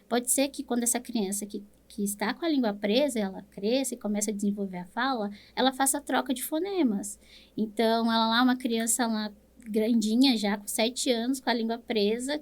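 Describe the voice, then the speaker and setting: A woman with a Brazilian Portuguese accent, a speech therapist explaining things patiently in an interview, often checking in with a tag question. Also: on the high side. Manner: explains patiently, ends phrases with "né?".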